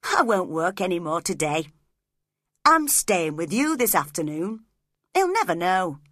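A woman voicing a volatile young woman who is imperious and quick to anger. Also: fairly low pitch, big pitch swings, fairly bright tone, no echo, studio quality, heavily acted. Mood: coaxing.

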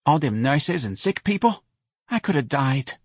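A man voicing a loud, bossy older woman with a New Orleans accent, brash and pushy in an audiobook story. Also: fairly high pitch, very animated, a little slow, husky, dry recording, clean studio sound, pitched above the performer's own voice, exaggerated voice. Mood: urgent, gossipy.

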